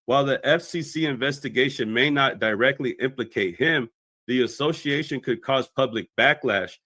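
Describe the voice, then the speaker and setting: A man's voice: medium pitch, a bit bright, no room sound. A man delivering scripted explanatory commentary as a video commentator.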